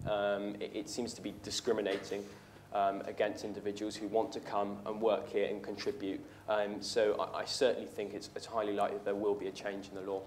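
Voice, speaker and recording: A man's voice: lowish voice, completely flat delivery, a little fast, slight background noise. A young man, a political candidate, answering audience questions in a university lecture hall in an earnest way, with many ums and restarts. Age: young adult. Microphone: room mic at a lectern, far camera.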